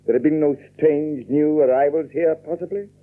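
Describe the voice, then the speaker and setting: An actor playing a pompous, domineering older judge in a radio drama, husky and falsely kindly. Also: male voice, on the high side, fairly even intonation, normal speed, lightly acted. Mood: suspicious. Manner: pompous, unctuous. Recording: dull old radio recording.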